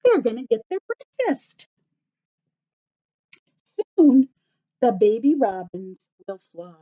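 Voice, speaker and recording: An American woman teacher reads a children's book aloud at a slow pace. Her voice swings widely in a sing-song way as she puts questions to young listeners. The recording is slightly dull and lo-fi. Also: a little high, very animated, mellow. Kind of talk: children's story read-aloud.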